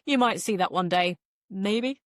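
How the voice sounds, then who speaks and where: A woman's voice: medium pitch, unhurried, no echo, clean studio sound. A woman chatting casually as an interview guest, with a wry, self-deprecating sense of humour. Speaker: guest author.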